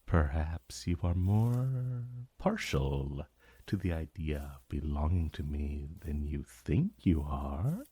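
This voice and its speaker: Man playing a deep, very slow ancient dragon. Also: animated, dark tone, breathy edge, no room sound, exaggerated voice. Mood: soothing, seductive. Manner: slow, formal, seductive murmuring with lingering pauses. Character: ancient male dragon familiar, possessive, silkily tender, vaguely menacing. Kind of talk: romantic fantasy ASMR roleplay.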